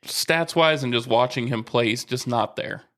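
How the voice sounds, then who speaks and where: A man co-hosting a podcast, calmly explaining sports news and rules. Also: medium pitch, moderate pace. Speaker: podcast co-host. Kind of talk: casual sports chat.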